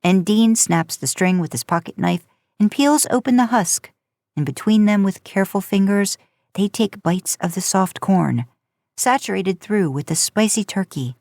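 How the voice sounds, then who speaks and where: A woman's voice: mid-range voice, animated, normal speed, a little mellow, no echo. A woman reading a story aloud in an informal, conversational audiobook style. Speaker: podcast host narrating fan fiction.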